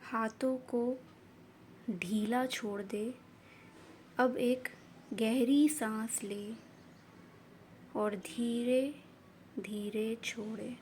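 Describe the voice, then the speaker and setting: A woman guiding a meditation in a gentle, soothing manner, with short unhurried phrases of instruction. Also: fairly high pitch, fairly even intonation, low-quality recording. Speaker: meditation guide. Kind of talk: guided meditation narration. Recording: faint background noise and ambience.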